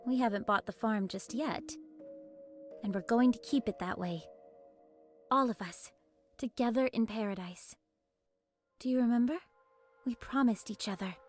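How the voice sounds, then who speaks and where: A woman voices a female squad leader in an anime game. She is high-pitched, earnest and full of hopeful resolve, speaking with a slightly formal polish over prominent background music. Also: normal speed, pauses often, close to an everyday voice. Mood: resolute. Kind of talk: scripted anime game voice acting.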